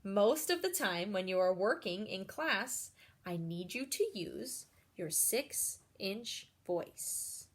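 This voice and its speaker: A woman reading a children's picture book aloud like a teacher, expressive and encouraging, putting questions to her young listeners. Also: medium pitch, slow pace. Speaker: read-aloud storyteller and teacher.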